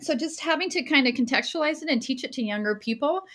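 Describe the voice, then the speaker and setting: A lively woman in her forties from New Mexico chats in an interview, her voice sitting a little high. She laughs often and rushes ahead when excited, keeping an eager, open tone. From Las Cruces, New Mexico, US. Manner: enthusiastic, laughs often, quick bursts. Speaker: guest artist and teacher. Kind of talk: casual interview talk.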